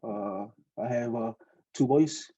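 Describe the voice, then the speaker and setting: Young man from Tonga, Tongan first language, hesitant and laughing, very dark mellow tone, muffled lo-fi sound. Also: medium pitch, takes it slowly. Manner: hesitant, many fillers, laughs often. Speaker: podcast guest, student pilot. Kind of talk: casual interview storytelling.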